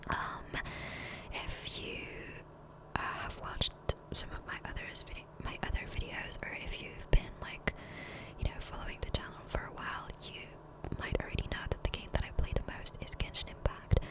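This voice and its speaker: A woman whispering softly and breathily in a chatty, rambling ASMR style over gameplay, close to a condenser microphone. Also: moderate pace, poor audio. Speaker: gaming ASMR creator. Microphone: close USB condenser microphone.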